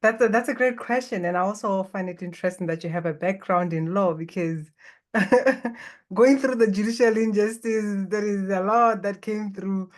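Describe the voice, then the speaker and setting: A woman from Botswana whose first language is Setswana, a guest on a podcast who speaks in a calm, reflective and inviting way. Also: medium pitch, moderate pace. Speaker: guest, life coach. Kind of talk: podcast interview.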